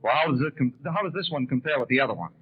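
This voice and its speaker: A man's voice: mid-range voice, expressive, rapid-fire, breathy edge. An American man conducting live 1930s radio news interviews with formal, courteous questions. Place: convention hall broadcast room. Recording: tinny narrowband 1930s radio broadcast.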